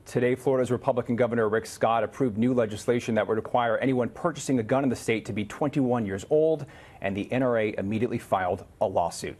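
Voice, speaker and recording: A man's voice: mid-range voice, fast, a little mellow. A man with an American accent delivering a scripted news report as a correspondent.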